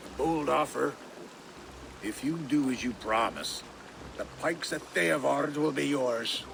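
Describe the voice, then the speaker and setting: An actor playing a bitter, stubborn older reeve of a Saxon village in a game, gruff and gravelly, with archaic formal speech. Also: male voice, mid-range voice, takes it slowly, background noise. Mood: firm, resolute.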